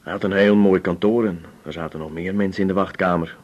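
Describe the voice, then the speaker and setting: An actor playing a weary, gullible travelling salesman in his fifties, speaking hesitantly and plainly in a radio drama, with a husky voice. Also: low-pitched, fairly even intonation, a little mellow, lo-fi sound. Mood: earnest, sheepish. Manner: hesitant, plain-spoken, halting short sentences. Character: gullible, weary 56-year-old male travelling glue salesman. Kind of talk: naturalistic radio drama acting.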